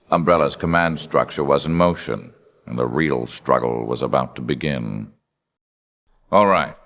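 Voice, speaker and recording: Video game supervillain, rock-bottom pitch, heavy creak, cold, arrogant, scheming, measured, theatrical, menacing. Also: male voice, fairly bright tone, heavily acted. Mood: smug, calculating. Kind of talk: scripted video game villain narration and dialogue.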